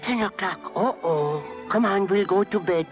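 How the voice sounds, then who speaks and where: An actor playing a kindly, dreamy old woodcarver, doting and musing, in 1930s radio style. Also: male voice, sits high, a little slow, lo-fi sound. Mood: tender, wistful. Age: older. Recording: vintage radio broadcast, music behind.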